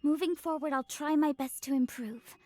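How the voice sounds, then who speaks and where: A woman's voice: high voice, fairly even intonation, normal speed, warm tone, no room sound, soft background music, natural voice. A woman voicing a timid, polite teenage girl in a visual novel, hesitant and apologetic in her delivery. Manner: hesitant, meek. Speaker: voice actor. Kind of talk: visual novel character acting.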